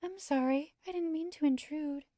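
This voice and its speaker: A woman voicing a shy, polite young angel girl as a soft-spoken houseguest in a visual novel. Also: high-pitched, normal speed, no room sound, voice pushed up, lightly acted. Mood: shyly apologetic. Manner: polite, demure, gentle. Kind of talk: visual novel dialogue read aloud.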